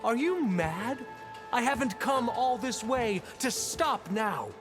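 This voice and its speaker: Ruthless egotist ruler, high-pitched, slow imperious theatrical delivery, video game cutscene, loud music behind. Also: male voice, expressive, exaggerated voice. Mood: gloating, obsessed. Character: ruthless, entitled egotist leader, grandiose and power-hungry man. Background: loud cinematic music.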